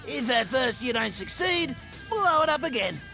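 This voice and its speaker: Video game role: unhinged, gleeful Australian male outlaw, manic and cackling, piercing forceful tone, highly animated pitch. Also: moderate pace, creaky, soft background music, exaggerated voice. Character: unhinged, gleeful explosives-obsessed outlaw man. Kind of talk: video game character voice acting.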